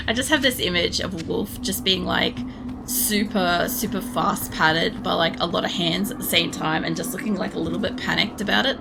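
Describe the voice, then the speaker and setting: Hoarse gravelly woman streamer. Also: on the low side, normal speed, rough recording. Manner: rambling, chatty, swears casually. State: hoarse, losing her voice. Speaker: game streamer. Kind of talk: casual chat while playing. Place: home room. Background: game music.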